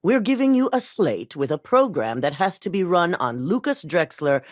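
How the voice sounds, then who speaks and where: An actress voicing a composed, commanding female corporate CEO in a video game, speaking in a measured, authoritative, businesslike way. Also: fairly low pitch, very animated, a little mellow, no room sound, studio quality. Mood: cool. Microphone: dry studio voice recording.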